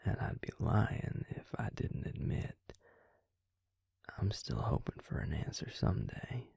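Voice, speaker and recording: A man playing a gentle, protective vampire with a Southern US accent in an intimate audio roleplay, speaking in a breathy, gravelly, soothing half-whisper. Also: on the low side, lively intonation, unhurried, dry recording, clean studio sound, heavily acted. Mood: wry, curious. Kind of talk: intimate scripted audio roleplay, addressing the listener.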